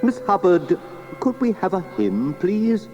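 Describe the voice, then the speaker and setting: Man voicing a slow, high-toned pious country vicar. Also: big pitch swings, in a large hall. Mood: politely requesting. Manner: hesitant, drawn-out, preachy. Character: kindly, flustered male country vicar, gently pious. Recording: dull cassette transfer with music.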